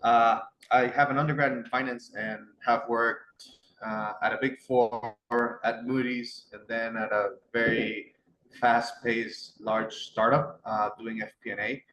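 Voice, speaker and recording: A man's voice: medium pitch, little pitch movement, measured pace, a bit bright. A man from Costa Rica, an MBA student, introducing himself with polite thanks. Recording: echoey room with faint noise.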